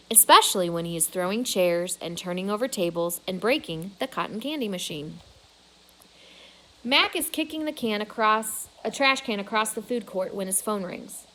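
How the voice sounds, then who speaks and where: A woman's voice: mid-range voice, bright, forceful tone, low-quality recording. A woman reading a children's novel aloud as a storyteller.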